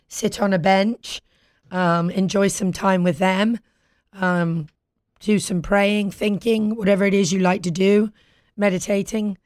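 A British woman hosting a talk show in casual, playful chat, with a husky voice recovering from being lost. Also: medium pitch, a little mellow, no echo, clean studio sound. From Britain. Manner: playful, bantering.

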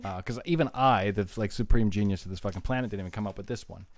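A man's voice: lowish voice, fast. A man hosting a podcast, brash and boastful, teasing his co-hosts and swearing freely. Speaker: host. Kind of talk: irreverent podcast chat.

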